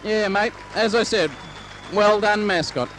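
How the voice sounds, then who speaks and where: A man's voice: high voice, unhurried, a bit bright, poor audio. Matey man co-commentating. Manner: plain-spoken, matey. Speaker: co-commentator, club member. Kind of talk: casual sports commentary chat. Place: outdoor grandstand at a suburban oval. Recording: old amateur sports recording with background noise.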